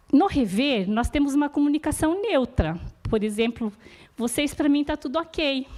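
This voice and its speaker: Higher-voiced woman teaching warmly. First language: not Portuguese. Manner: earnest, encouraging, often adds "né?". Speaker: support group teacher. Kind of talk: church teaching talk.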